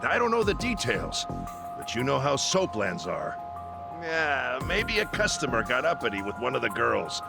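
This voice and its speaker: Gravelly, offhand gangland acquaintance. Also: male voice, medium pitch, wildly swooping pitch, normal speed, loud music behind the voice. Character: offhand yakuza-world man passing on news of trouble. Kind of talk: video game cutscene dub acting.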